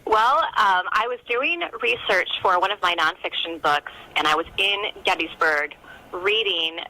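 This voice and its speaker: A woman's voice: medium pitch, measured pace, pushed and bright, poor audio. A middle-aged American woman talking conversationally as an interview guest. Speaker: author, podcast guest.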